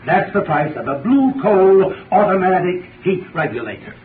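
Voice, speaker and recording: An actor playing a courteous heating expert, giving an earnest, formal scripted sales talk on 1930s radio. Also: male voice, high voice, very animated, normal speed. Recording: distant, echoey, tinny, noisy 1930s radio recording.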